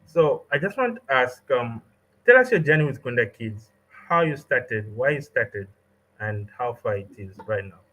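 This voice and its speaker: A man's voice: medium pitch, lively intonation, normal speed, a bit bright, poor audio. A man interviewing a guest in a friendly, somewhat hesitant way with many fillers, over a video call. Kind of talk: interview questions.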